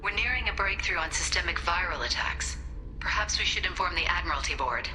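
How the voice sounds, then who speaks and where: A woman's voice: lowish voice, animated, fairly quick, music in the background, close to an everyday voice. An actress playing a cautious female alien lab researcher in a video game cutscene. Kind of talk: cinematic video game voice acting. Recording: echoey room.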